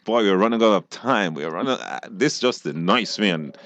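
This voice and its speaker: A man's voice: fairly low pitch, lively intonation, normal speed, fairly bright tone. A Belizean man hosting a live radio call-in quiz in a casual, chatty style. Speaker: radio show host.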